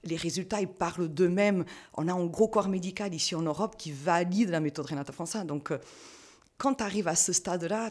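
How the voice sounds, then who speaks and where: A woman's voice: lowish voice, a little mellow. A middle-aged woman, a physiotherapist, talking casually in an interview, chatty, with many fillers and restarts. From France. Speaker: guest, physiotherapist.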